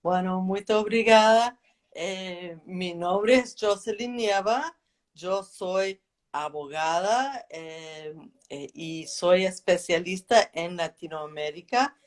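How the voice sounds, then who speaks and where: A woman whose first language is English, speaking as an interview guest in a careful, hesitant, deliberate way. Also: lowish voice. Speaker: guest, legal advisor. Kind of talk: introducing herself in a live interview.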